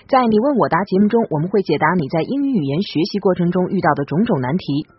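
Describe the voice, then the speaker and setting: A woman from China co-hosting a bilingual English lesson, explaining and translating in a brisk, lively way. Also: lowish voice, husky. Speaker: co-host and translator. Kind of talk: bilingual educational radio presenting. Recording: muffled broadcast audio with faint music bed.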